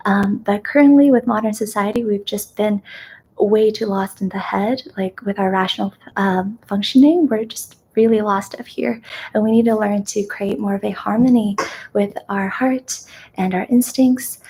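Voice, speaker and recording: A young woman born in China, whose first language is Kazakh, hosting a live ASMR question-and-answer chat in a gentle, soft-spoken way that slips into whispers. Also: mid-range voice, a little hiss or hum, poor audio. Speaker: ASMR host.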